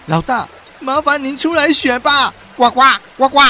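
A woman playing an anxious, pleading frog underling in a radio drama, whining at the boss and adding croaks. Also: sits high, normal speed, pushed and bright, slight background noise. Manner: whining, croaking at ends of speeches.

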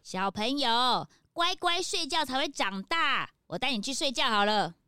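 A woman's voice: sits high, animated, a little slow, pushed and bright, clean tone, no echo, studio quality, full character voice. A woman playing a perky, kindly young sidekick to a detective. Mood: coaxing.

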